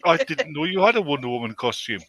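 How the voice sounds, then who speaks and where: A man's voice: fairly high pitch, very animated, fast, fairly bright tone, no room sound. A man bantering casually as a panelist, teasing with dry quips. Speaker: panelist on an old-time radio listening show. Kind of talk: casual joking chat.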